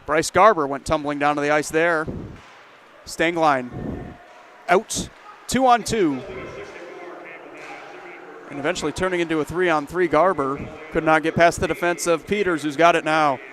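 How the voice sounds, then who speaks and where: A man calling live hockey play-by-play, brisk and lifting his voice on rushes toward the net. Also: a little high, a bit bright. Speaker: play-by-play commentator.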